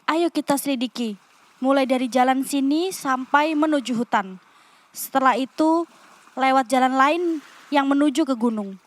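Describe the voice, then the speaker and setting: A woman's voice: high-pitched, close to an everyday voice. A woman voicing a bossy young spy who leads her team in a children's puppet play. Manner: brisk, commanding, upbeat. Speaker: puppet voice actor.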